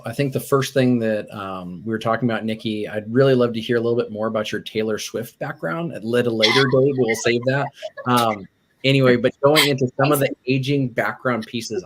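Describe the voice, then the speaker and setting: A man's voice: mid-range voice, fairly quick. A man co-hosting a podcast, asking friendly, rambling questions with restarts. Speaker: podcast co-host. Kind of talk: conversational podcast interview.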